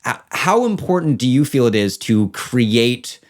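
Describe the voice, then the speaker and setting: Guy hosting a chatty podcast, medium pitch, informal, kinda thinking out loud. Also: sing-song, unhurried. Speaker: podcast host and game master. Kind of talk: casual conversational interview.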